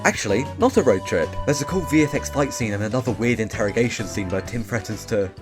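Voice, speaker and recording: A man's voice: medium pitch, lively intonation, fast, over music. A young man giving a sarcastic, scripted comedic film review as host, with some swearing. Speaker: video essay host.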